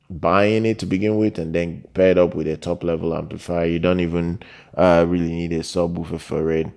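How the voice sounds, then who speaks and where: A man giving an unscripted product review voice-over, talking in a halting, repetitive way and leaning on key words for emphasis. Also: low-pitched, dark tone. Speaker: product reviewer, channel host.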